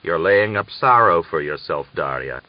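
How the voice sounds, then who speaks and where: A man's voice: low-pitched, lively intonation, normal speed. A man voicing a modest, reserved young Cossack wife in an audiobook, prim and guarded. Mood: reproachful. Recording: dull lo-fi audiobook recording.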